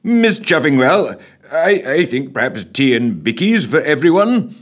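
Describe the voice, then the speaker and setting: A man's voice: fairly high pitch, sing-song, unhurried, voice pushed up. A man voicing a pompous, exasperated male prime minister who blusters and stammers.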